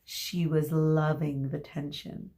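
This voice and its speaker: A woman narrating a children's story aloud like a teacher, expressive, dramatic and deliberate. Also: sits low. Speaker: teacher reading aloud to students.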